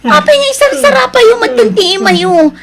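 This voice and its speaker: A woman's voice: very high-pitched, lively intonation, normal speed, gravelly, a loud crowd, full character voice. A woman playing a puzzled, frightened child in an Ilocano audio drama. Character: young child, puzzled and scared by ailing father. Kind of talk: Ilocano radio-style drama acting.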